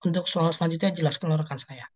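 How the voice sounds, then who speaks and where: A woman's voice: low voice, racing, husky. A young woman reading formally from prepared material as a student presenter. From Indonesia. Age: young adult. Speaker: university accounting student presenting. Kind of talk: scripted group presentation, formal reading aloud.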